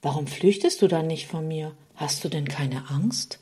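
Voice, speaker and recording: A woman's voice: low-pitched, moderate pace, dark tone, husky. A woman voicing Sadness, a dejected, unloved female figure, in a spoken storybook, lamenting in a slightly raised voice.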